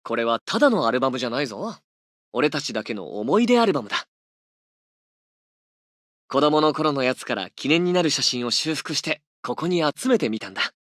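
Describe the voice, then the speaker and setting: A man's voice: mid-range voice, big pitch swings, very fast, a bit bright, no room sound, clean studio sound. A man voicing a tender, devoted young sweetheart in a romance game, with an affectionate manner. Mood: affectionate, nostalgic. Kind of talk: game character voice acting.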